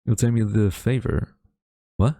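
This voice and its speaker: Deep-voiced, grumbling tsundere college guy played by a man. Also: steady tone, warm tone, dry recording, clean studio sound. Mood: hesitant, nervous. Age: young adult. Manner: flustered, grumbling, halting denials. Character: tsundere young male university student rival, defensive, secretly smitten. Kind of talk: romantic audio roleplay acting.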